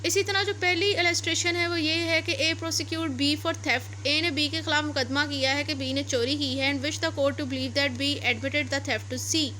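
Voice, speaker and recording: A woman's voice: high-pitched, steady tone, measured pace, hardly any pauses, bright, forceful tone, a little hiss or hum. A woman teaching law, reading passages aloud and then explaining them step by step, repeating and rephrasing her points. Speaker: law lecturer.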